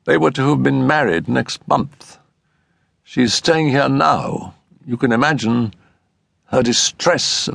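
A man's voice: mid-range voice, big pitch swings, normal speed, gravelly, a bit bright, no room sound, studio quality, pitched above the performer's own voice, exaggerated voice. A man voicing an anxious, frank and earnest male doctor in an audiobook story. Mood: troubled.

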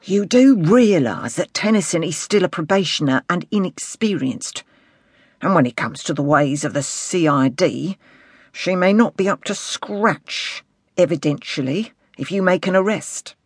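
Guy doing a grumpy condescending police sergeant for an audiobook, curt n gruff, dry booth sound. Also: high-pitched, expressive, measured pace, dry recording, heavily acted. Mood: indignant. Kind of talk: audiobook character dialogue.

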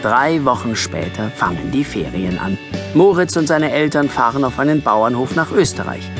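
Lively male children's story narrator over music. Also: mid-range voice, normal speed. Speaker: audiobook narrator.

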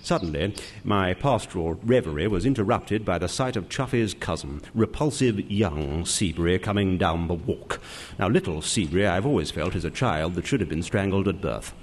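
An actor playing a flippant young upper-class British gentleman, droll and excitable, in a comic audio drama. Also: low-pitched, moderate pace, a little hiss or hum. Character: genteel, flippant young English gentleman, narrating his misadventures.

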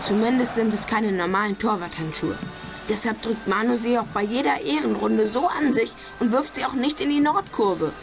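An actor voicing an excitable young boy football fan in an eager, exclamatory way for a children's audio drama. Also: mid-range voice, moderate pace, gravelly, music in the background, lightly acted. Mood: curious, concerned. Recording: loud stadium noise behind.

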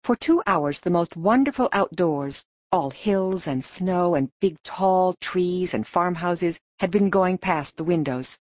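A woman narrating an audiobook story in an expressive storytelling manner. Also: on the low side, a little slow, few pauses, dry recording, lo-fi sound.

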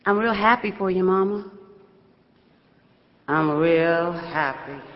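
An actress playing a sassy, proud young blues singer in a stage drama. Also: female voice, lowish voice, slow pace, muffled recording. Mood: subdued, tender.

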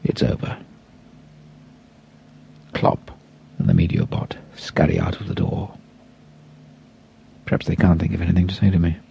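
A man narrating an audiobook in a dramatic first person, theatrically savouring his words with long pauses. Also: low-pitched, creaky, a little mellow, dull audio, a little hiss or hum.

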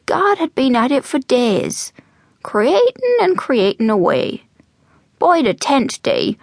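A woman voicing a mischievous, wheezy old male storyteller in an audiobook, slow and conspiratorial, slipping into whispers. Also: sits high, very animated, vocal fry, dry recording, clean studio sound, voice pushed up, heavily acted. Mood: hushed, playful. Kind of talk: audiobook character voice.

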